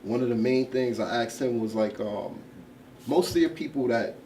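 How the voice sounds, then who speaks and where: A man's voice: mid-range voice, normal speed, dark tone, a little hiss or hum. A young man from Brooklyn, telling stories and joking as an interview guest. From Brooklyn, New York. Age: young adult. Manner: storytelling, joking, street slang. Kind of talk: casual chat. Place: indoor studio with backdrop. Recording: distant from the mic.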